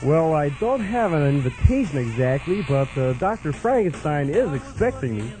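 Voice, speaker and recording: Guy doing a nervous eager young salesman, high jumpy voice, stammers, music behind. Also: very animated, normal speed. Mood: awkward. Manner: hesitant, stammering. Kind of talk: comic radio play acting.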